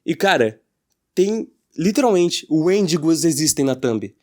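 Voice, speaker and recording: A man's voice: fairly high pitch, very animated, unhurried, a little mellow. A Brazilian man giving casual opinion commentary as a YouTuber, in an informal, slangy way.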